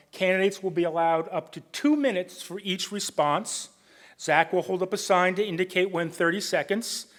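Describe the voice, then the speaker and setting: A man with a booming voice moderating a town candidates night, talking with many ums and restarts. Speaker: debate moderator. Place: public meeting room.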